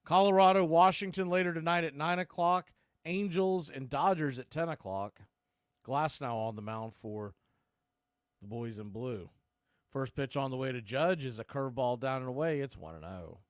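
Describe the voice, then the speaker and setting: A man doing live radio-style baseball play-by-play as the announcer, making clipped pitch calls in short phrases. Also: moderate pace, smooth, no echo, clean studio sound.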